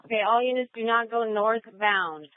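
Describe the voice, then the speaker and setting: A woman's voice: a little high, normal speed, no room sound. A woman working as a police dispatcher, speaking in brief procedural phrases over the radio. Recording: tinny narrowband police radio transmission.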